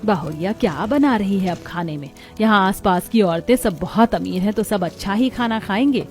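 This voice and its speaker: A woman's voice: medium pitch, expressive, normal speed, a little mellow, faint music behind, lightly acted. A woman voicing a kindly, proud village mother-in-law with homely rustic speech in a cartoon story. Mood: pleased.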